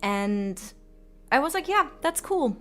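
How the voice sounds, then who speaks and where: A woman's voice: high voice, very animated, slow pace, fairly bright tone, faint music behind. A woman giving opinionated, casual video commentary in an informal, emphatic way with some swearing. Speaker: video essayist.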